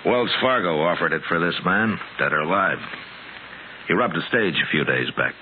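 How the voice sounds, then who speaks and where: An actor playing a laconic, shrewd American lawman in a 1950s radio western, speaking in a measured, understated way. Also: deep voice, expressive, slight background noise. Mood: matter-of-fact. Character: laconic, shrewd Old West lawman narrating his case. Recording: old muffled radio recording, loud music.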